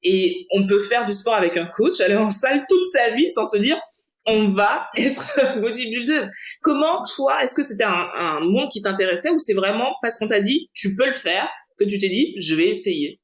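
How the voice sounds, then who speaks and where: A woman's voice: medium pitch, expressive, moderate pace. A woman hosting a podcast, asking questions in an upbeat, enthusiastic, colloquial way. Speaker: podcast host. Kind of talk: casual podcast interview. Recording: roomy echo.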